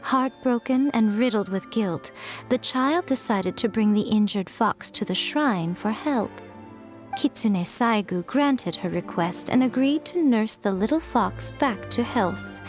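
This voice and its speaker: A woman playing a self-assured, teasing fox spirit shrine maiden in a video game, with playful, coy and deliberate phrasing. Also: a little high, expressive. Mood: wistful storytelling. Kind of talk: polished video game character acting. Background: music.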